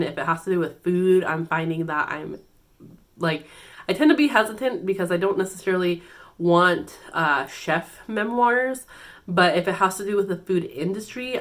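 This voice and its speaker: A woman's voice: on the low side, a bit bright. A woman hosting her own book channel, chatting casually with many fillers and restarts, congested and a little nasal from a cold. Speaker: BookTube channel host. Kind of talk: casual book-tag vlog.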